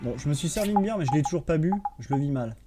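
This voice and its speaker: A man's voice: on the high side, animated, rapid-fire. A man in his thirties casually commenting on a farming game to viewers at home, chatty and swearing offhandedly. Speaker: gaming streamer. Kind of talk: casual let's-play commentary. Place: home room at desk. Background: faint game music.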